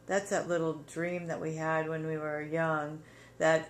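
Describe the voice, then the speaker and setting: Low-voiced woman interviewer. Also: little pitch movement, measured pace, a little hiss or hum. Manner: encouraging, prompting with short agreements. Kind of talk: casual interview.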